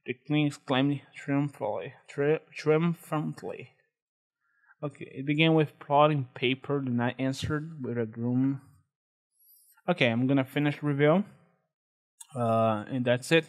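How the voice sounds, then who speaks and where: A young man with a Brazilian Portuguese accent talks through a screen-recorded tutorial at a computer. He speaks in a relaxed, halting way, full of "uh" and restarts, with an ordinary mid-range pitch. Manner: relaxed, hesitant, many fillers and restarts. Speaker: tutorial host.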